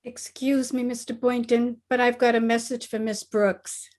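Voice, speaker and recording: Teenage schoolgirl played by a woman, radio sitcom. Excitable, earnest, on the high side. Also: moderate pace, lightly acted. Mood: chirpy. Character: eager, excitable teenage schoolgirl, principal's daughter. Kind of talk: 1950s radio comedy acting.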